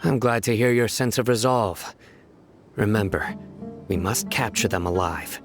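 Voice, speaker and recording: Low, stern commanding general. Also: male voice, music in the background. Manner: curt, authoritative, measured. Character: stern, commanding young male law-enforcement general. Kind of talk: video game voice acting.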